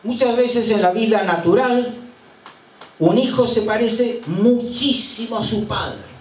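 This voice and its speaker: An older man from Chile, preaching a sermon as a pastor and telling anecdotes in an unhurried way with frequent hesitations. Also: high voice. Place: church sanctuary. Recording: roomy church sound from a distant mic.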